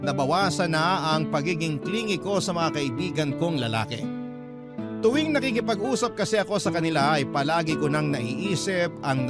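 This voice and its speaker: Filipino radio storyteller man over loud guitar music. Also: fairly high pitch. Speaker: radio host reading a listener's letter. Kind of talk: sentimental scripted storytelling. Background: loud plucked guitar music.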